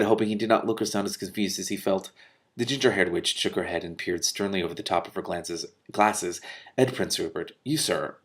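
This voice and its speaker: A man reading a fantasy novel aloud, raising his voice for the characters' lines and breaking off for quick, lively comments and laughs. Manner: animated, laughs and swears in asides, quick mutters between passages; rises in pitch and volume for character lines. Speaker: reader and commentator.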